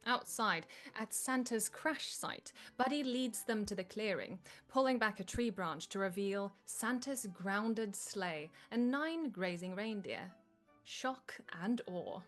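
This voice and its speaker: A woman's voice: mid-range voice, animated, slow pace, a bit bright, faint music behind. A woman reading stage directions as scripted narration at a live table read.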